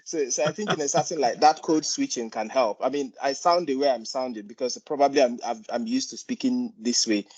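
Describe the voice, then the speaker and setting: A man's voice: medium pitch, brisk, few pauses, a little mellow, slightly rough. A man speaking on a video call as a meeting panelist, sharing personal stories with lots of fillers. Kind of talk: online meeting chat. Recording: dull lo-fi call audio.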